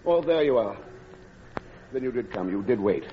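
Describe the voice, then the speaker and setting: An actor playing an earnest, eager doctor in a classic radio drama, with a polite but urgent, persuasive manner. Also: male voice, medium pitch, animated, normal speed, lightly acted. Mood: breathless apology. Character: earnest, eager married doctor courting a woman furtively. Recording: dull, slightly noisy old radio recording.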